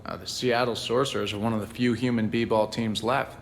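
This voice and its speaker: A man's voice: lowish voice, flat delivery, brisk, lightly acted. An actor playing a friendly, teasing crewman and keen sports fan in a space video game, in casual banter. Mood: confident, eager. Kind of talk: casual game dialogue acting. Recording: reverberant room, faint ambient noise.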